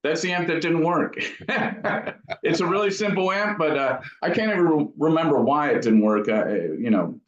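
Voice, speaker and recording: A man's voice: fairly high pitch, lively intonation, normal speed. A middle-aged man giving casual, thoughtful interview answers as an amp builder, hesitating as he reminisces. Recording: roomy, dull lo-fi sound.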